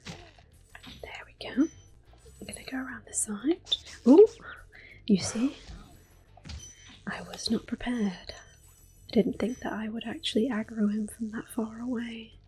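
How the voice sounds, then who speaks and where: A woman hosting a relaxing game playthrough, talking mostly in a gentle, unhurried whisper with small exclamations here and there. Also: fairly high pitch, a little hiss or hum, poor audio. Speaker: gaming ASMR host. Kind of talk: soft whispered game commentary.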